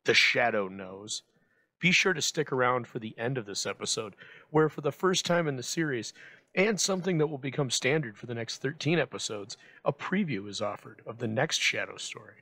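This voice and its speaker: A man narrates a scripted introduction as presenter. His voice is bright and forceful at a middle pitch, recorded very dry. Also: expressive, moderate pace, no echo.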